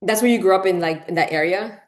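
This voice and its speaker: A woman's voice: mid-range voice, quick pace. A woman in her forties, born in Peru and raised in Miami, hosting a casual interview and talking in a chatty way full of fillers. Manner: chatty, lots of fillers, frequent "right?" and "you know". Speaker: podcast host.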